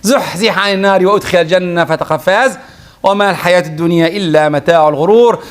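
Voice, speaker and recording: A man giving a Friday sermon in a high voice, switching into quick recitation and prayers. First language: Arabic. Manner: lively preaching, quick Arabic recitation and prayers. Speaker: preacher.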